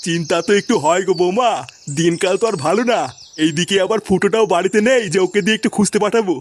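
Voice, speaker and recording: A man plays a doting, fretful cartoon grandfather. His old man's voice sits high and moves fast and excitably. The recording has clear background noise. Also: lightly acted. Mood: worried. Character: doting, fretful old grandfather who curses when cross. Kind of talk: comic cartoon dialogue.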